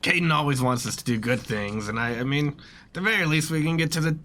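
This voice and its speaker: A man's voice: a little high, quick pace, sharp tone. A man playing a tabletop roleplaying game, chatting casually and a little hesitantly in character.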